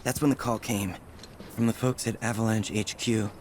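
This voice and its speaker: A man's voice: on the low side, fairly even intonation, normal speed, slight background noise, natural voice. Man playing an earnest, sober rebel volunteer. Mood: somber, resolute. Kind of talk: dramatic video game narration. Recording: music behind the voice.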